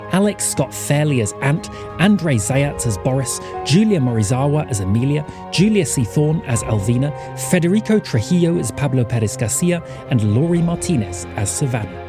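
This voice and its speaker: Animated male credits announcer over music. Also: on the high side. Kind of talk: scripted podcast credits read.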